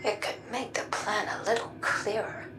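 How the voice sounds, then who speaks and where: A woman playing a guarded, world-weary warrior seer in a video game, speaking in a measured, somber, intimate way. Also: mid-range voice, natural voice. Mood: wry, uncertain. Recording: music behind the voice.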